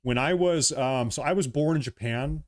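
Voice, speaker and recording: A man's voice: medium pitch, normal speed. A young man hosting a casual livestream, rambling and repeating himself as he talks to his viewers. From United States. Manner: rambling, repeats himself, reads chat aloud. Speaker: livestream host.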